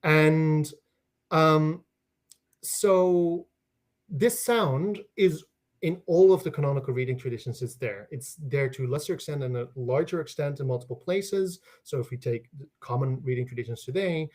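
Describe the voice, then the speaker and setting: Higher-voiced Dutch man, academic explainer. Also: normal speed, darkish tone. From Netherlands. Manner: restarts phrases, checks with "right?". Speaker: guest scholar.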